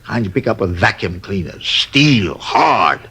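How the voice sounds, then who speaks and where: A man's voice: on the low side, sing-song, a little slow, bright, forceful tone, husky, full character voice. An actor playing a fussy, long-winded male robbery witness who grumbles and wanders off topic, in 1950s radio drama style. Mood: recounting. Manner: rambling, grumbling, easily sidetracked.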